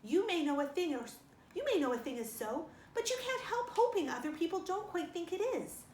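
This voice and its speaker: A woman's voice: very high-pitched, very animated, fairly quick, darkish tone, voice pushed up. A woman reading a storybook, voicing a hot-tempered, wounded, defiant young orphan girl who protests in a wailing, dramatic way. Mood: wailing, hurt. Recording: roomy sound with faint noise.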